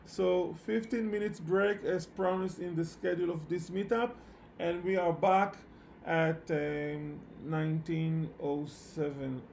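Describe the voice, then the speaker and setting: A man who speaks English as a second language, teaching programming in a live online lecture with halting phrasing and repeated words. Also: fairly high pitch, fairly even intonation, gravelly, darkish tone, slight background noise. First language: not English. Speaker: programming instructor. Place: online meetup session at a computer.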